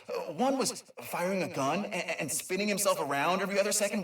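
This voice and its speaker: Game role: excitable, nerdy, kind creature inventor, stammering through informal logs; lightly high male voice, echoey and a bit off-mic. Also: recorded from a distance. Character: eager, nerdy, kind male Lombax inventor and dimension mapper. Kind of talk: informal recorded audio log in a video game.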